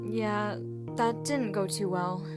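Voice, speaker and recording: A woman's voice: medium pitch, fairly even intonation, slow, natural voice. A woman voicing a cheerful, playful young woman in a fan-dubbed visual novel game. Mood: frustrated. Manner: playful, bubbly. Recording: loud music behind the voice.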